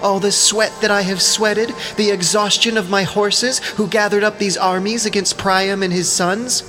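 A man's voice: sits high, fairly even intonation, normal speed, pushed and bright, pitched above the performer's own voice. A man voicing a haughty, aggrieved goddess queen with pointed, theatrical indignation in an audio drama of ancient epic. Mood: indignant. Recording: music behind the voice.

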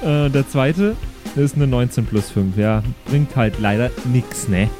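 A man's voice: medium pitch, measured pace, music in the background. A man chatting casually as a player in an improvised tabletop role-playing game.

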